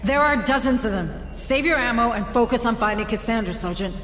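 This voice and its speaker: An actress playing a resolute, protective mission leader in a video game, urgent and commanding. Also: female voice, on the high side, expressive, a little fast, a little hiss or hum. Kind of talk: video game cutscene acting. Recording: echoey hall reverb under loud music.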